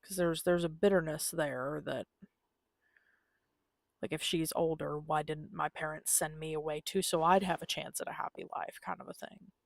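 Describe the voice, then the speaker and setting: A woman's voice: low-pitched, fairly even intonation, normal speed, vocal fry, dry recording. A woman giving casual, musing live commentary as she streams a video game, with exasperated asides.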